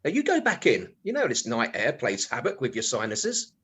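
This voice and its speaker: An actor playing a cheeky, henpecked middle-aged British husband in a comic radio play, confiding in a mock-apologetic way full of innuendo. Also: male voice, mid-range voice, huge pitch swings, normal speed, fairly bright tone, husky, exaggerated voice. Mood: sheepish, placating. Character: cheeky, henpecked, sex-starved husband with a telescope.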